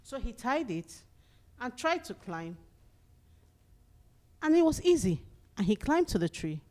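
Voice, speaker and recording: Very animated woman telling a folktale. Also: a little high, a little slow. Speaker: storyteller. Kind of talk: live oral folktale storytelling.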